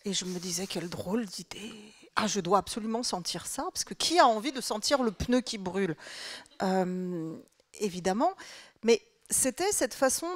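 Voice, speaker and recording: A woman's voice: a little high, no room sound. A woman from France speaking as a writer at a public literary talk. She muses thoughtfully in long, winding sentences with occasional fillers. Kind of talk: live literary conversation, reflective.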